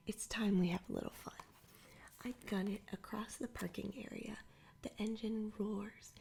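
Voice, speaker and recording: A woman reading a children's adventure story aloud entirely in a soft whisper, in ASMR style. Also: mid-range voice, creaky, husky. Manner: whispers throughout. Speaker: storybook reader.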